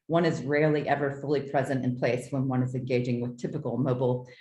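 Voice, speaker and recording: Very low-voiced woman in an echoey room. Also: flat delivery, a little mellow, dull audio, slight background noise. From southeastern United States. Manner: measured, articulate, formal. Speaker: artist presenting own work. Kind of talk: prepared artist talk, read from notes. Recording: roomy, echoing sound.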